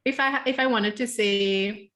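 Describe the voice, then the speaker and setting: Trinidadian woman, guest author, thoughtful and explanatory, mid pitch, video call audio. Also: moderate pace, a bit bright. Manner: thoughtful, explanatory, restarts phrases. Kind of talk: casual book club chat.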